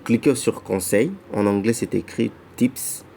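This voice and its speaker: A man with a lowish, gravelly voice talks through an app step by step. He stumbles and repeats himself, restarting phrases as he goes. A faint hum of background noise sits under the recording. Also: a little slow, warm tone. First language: not English. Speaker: app tutorial presenter. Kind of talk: casual screen-recorded walkthrough.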